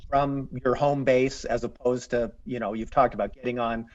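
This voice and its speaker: A man's voice: mid-range voice, fairly even intonation, normal speed, a little mellow. Man with a rambling question, muffled sound. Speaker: reporter. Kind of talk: press conference question.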